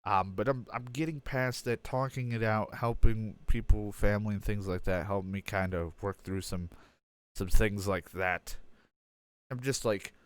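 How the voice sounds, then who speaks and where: A man's voice: on the low side, fairly even intonation, normal speed. A young man with a stuffed-up nose giving a candid personal update as a streamer, rambling and self-deprecating with many fillers and restarts.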